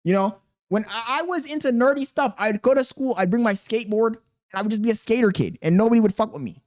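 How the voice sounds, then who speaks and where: A man's voice: high voice, quick pace, a little mellow. A man with a thin voice hosting a reaction video, chatting casually to his viewers in a blunt, mocking, profane way. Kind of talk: casual reaction commentary to chat.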